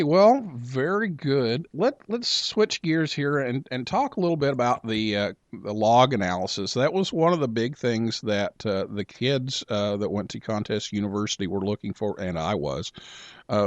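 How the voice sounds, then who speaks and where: A man with a fairly low voice hosts a call-in radio show. He is easygoing and asks long, rambling questions. The sound is dry and close. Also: no room sound, lo-fi sound. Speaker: host.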